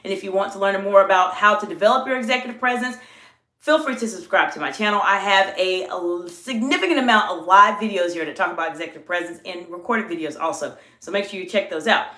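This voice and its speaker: A woman's voice: medium pitch, sharp tone, echoey room. A woman from the American South with a mixed Southern and New York accent, hosting a live-stream coaching talk and stretching out key words for emphasis. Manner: drawn-out emphatic words, reads chat aloud. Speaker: executive coach and channel host.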